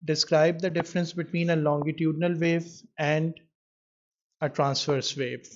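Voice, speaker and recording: A man tutoring in physics, explaining an exam question in a measured way with pauses as he writes. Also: a little high, steady tone. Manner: measured, pausing while writing out answers. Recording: dull lo-fi microphone sound.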